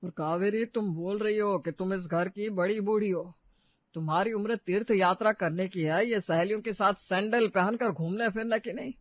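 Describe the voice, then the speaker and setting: A man's voice: high voice, moderate pace, no echo, lo-fi sound, lightly acted. A man playing a stern, scolding old patriarch in a family audio story, domineering and indignant.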